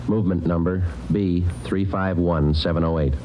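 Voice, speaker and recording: Deep-voiced, deadpan radio police detective played by an actor. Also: male voice, normal speed, slight background noise, lightly acted. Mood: businesslike, flat. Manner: clipped, deadpan. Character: terse, businesslike male police detective, narrating his cases. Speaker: detective and narrator. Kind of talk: 1950s radio crime drama acting. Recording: muffled old radio recording with music.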